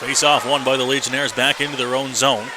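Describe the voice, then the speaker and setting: Man calling live hockey over loud arena noise. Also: medium pitch, brisk. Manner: clipped running phrases, excited shouts on big plays. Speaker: radio hockey play-by-play announcer. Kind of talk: live sports radio commentary. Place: indoor ice hockey arena. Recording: noisy live arena broadcast.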